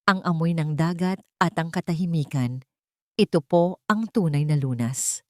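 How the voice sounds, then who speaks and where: A woman's voice: deep voice, normal speed, no echo, lightly acted. A woman narrator plays a humble young maid in an audiobook drama. She is tender and respectful, a loving caretaker. The sound is dry and close. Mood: tender, reassuring.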